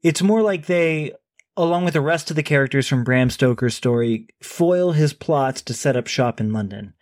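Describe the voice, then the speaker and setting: A man's voice: medium pitch, animated, normal speed, darkish tone, no echo, studio quality. A man co-hosting a podcast, talking casually about a book and reading a sponsor plug. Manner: conversational, reads a sponsor plug. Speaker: podcast co-host. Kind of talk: casual book discussion and ad read.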